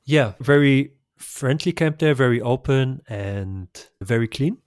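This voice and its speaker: A man's voice: mid-range voice, a little slow, clean tone, dry recording. Enthusiastic male travel guest, dry sound. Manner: enthusiastic, effusive recommendations with small laughs. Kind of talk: conversational travel interview.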